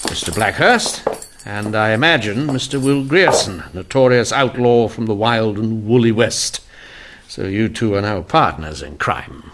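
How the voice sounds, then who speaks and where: An actor playing a shrewd, wry police inspector in an old-time radio mystery, genteel and unhurried in manner. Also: male voice, on the low side, a bit bright, slight background noise. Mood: wry, composed. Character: shrewd, wry, courteous male police inspector, 1890s Canada.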